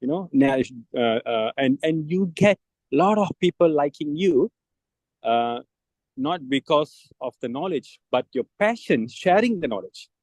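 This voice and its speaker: A man's voice: on the high side, lively intonation, unhurried, a little mellow, no echo, poor audio. A man giving an informal personal account as a guest on a panel. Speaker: panel guest, volunteer mentor.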